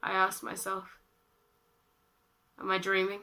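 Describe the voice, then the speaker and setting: A woman in her late teens performing spoken-word poetry, with dramatic, deliberate phrasing and repetition. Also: medium pitch, monotone, vocal fry, pushed and bright. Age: late teens, about eighteen. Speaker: slam poet. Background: music.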